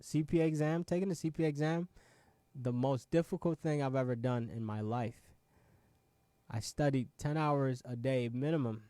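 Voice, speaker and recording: A man's voice: mid-range voice, moderate pace, dark tone, dry recording. Emphatic young man with rhetorical questions. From Ghana. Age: 20s. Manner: frequent restarts and "right?" asides. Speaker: show host. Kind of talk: motivational talk to viewers.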